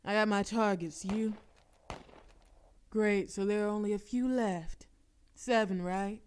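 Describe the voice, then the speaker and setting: A woman's voice: medium pitch, fairly even intonation, measured pace, a little mellow, slight background noise. A woman voicing a bold, flirtatious female mafia boss in an audio roleplay. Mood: focused. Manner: teasing, flirtatious. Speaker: voice actress.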